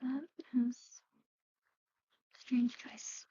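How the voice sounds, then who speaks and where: Woman, whispery ASMR roleplay, mellow and soft, even pitch, gently explaining, slightly dull recording. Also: breathy edge, no echo. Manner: hushed, unhurried, gently explaining. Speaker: ASMR performer playing a medical practitioner.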